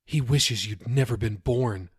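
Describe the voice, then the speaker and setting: A man voicing cruel, taunting demons in a low, hissing whisper. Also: measured pace, slightly rough, no echo, studio quality.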